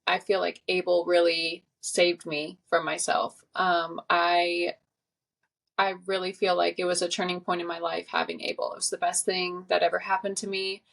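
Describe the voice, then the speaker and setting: Low, gravelly-voiced young woman. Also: little pitch movement, normal speed, a bit bright. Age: 20s. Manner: reflective, earnest, many fillers. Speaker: podcast guest. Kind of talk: casual podcast conversation.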